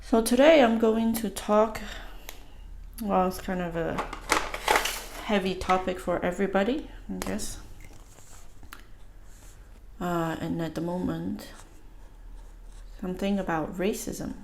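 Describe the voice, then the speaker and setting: Slow, gravelly-voiced woman musing aloud. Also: medium pitch, noisy background. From United States. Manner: musing, halting, thinking aloud. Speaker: channel host. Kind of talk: casual talk while doodling.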